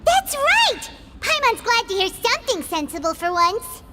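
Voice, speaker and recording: An extremely high, childlike fairy companion chirps away bluntly. The delivery is squeaky and lively, in anime video game style, with music clearly underneath. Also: female voice, moderate pace. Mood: eager. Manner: refers to self in third person, blunt, chirpy. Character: tiny, perky, childlike floating fairy companion girl.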